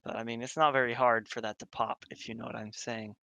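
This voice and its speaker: A young man with an American accent discusses things casually as a podcast co-host. His voice is crisp-edged and bright, sitting at an average pitch. The sound is close and dry, with no room around it. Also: flat delivery, fast talker, no room sound. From United States. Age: young adult.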